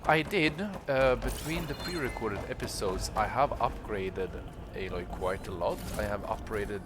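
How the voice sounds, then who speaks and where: Male gaming host, casual thinking-aloud commentary, lowish voice, loud music behind. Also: a little slow, background noise. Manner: relaxed, thinking aloud with fillers. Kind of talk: casual let's-play commentary. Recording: voice over loud game music.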